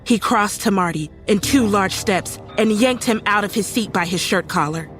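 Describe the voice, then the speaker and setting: A woman's voice: mid-range voice, normal speed, fairly bright tone, lightly acted. A woman performing suspenseful first-person horror narration as an uneasy young woman recounting a horrifying night. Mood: horrified. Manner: serious, suspenseful storytelling. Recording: music faintly under the voice.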